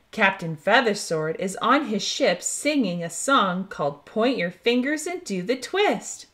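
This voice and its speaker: A woman hosting a children's storytime, reading a picture book aloud in an expressive, deliberate way. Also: a little high. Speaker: host of children's read-aloud show.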